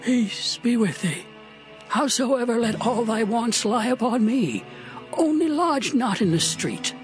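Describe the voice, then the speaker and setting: Old householder, earnest and imploring, swooping high-pitched delivery, music underneath, for biblical audio drama. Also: male voice, animated, slow, exaggerated voice. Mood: concerned, welcoming. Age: older. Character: old man, pleading, hospitable householder. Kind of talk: dramatized scripture reading, archaic English.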